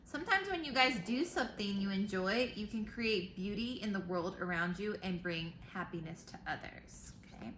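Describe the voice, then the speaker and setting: A woman teacher reading a picture book aloud to children, pausing for simple explanations and questions. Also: mid-range voice, lively intonation, a bit bright. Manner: simple explanations and questions addressed to young listeners. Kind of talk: read-aloud storytime with explanatory asides. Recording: roomy echo, faint background noise.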